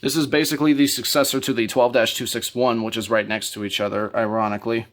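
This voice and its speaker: A man's voice: medium pitch, level tone, fast, noisy background. A man presenting his hobby collection in a casual show-and-tell style, talking from home.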